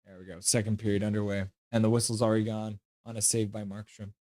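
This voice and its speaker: A man hosting a live hockey stream, reading chat comments aloud with loose jokes and breaking into quick play-by-play. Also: fairly low pitch, little pitch movement, mellow.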